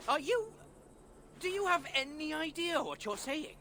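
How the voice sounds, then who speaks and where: An unsure young dwarf in a game, talks slow, high voice, noisy background. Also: male voice, big pitch swings, creaky, pushed and bright, exaggerated voice. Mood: incredulous. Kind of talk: video game cutscene acting.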